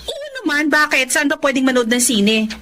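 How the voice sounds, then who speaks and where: A high-voiced, smitten Filipina. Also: female voice, moderate pace, pushed and bright, a little hiss or hum. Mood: excited. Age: 30s. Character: Minnie, smitten, giggly thirty-year-old woman in love. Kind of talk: Tagalog radio drama acting.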